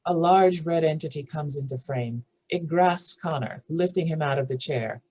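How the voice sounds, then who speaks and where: A woman with a husky voice narrating screenplay stage directions in a scripted reading. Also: low voice, moderate pace, a little mellow.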